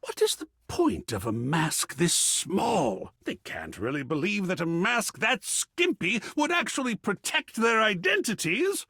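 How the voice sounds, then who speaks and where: A man voicing a flamboyant, pretentious male gallery curator in a video game, passionate and theatrical, with a gravelly, husky voice, savoring his words dramatically. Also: high voice, huge pitch swings, a little slow, few pauses, pushed and bright, no echo, clean studio sound, heavily acted. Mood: delighted, playful. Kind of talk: theatrical game character dialogue.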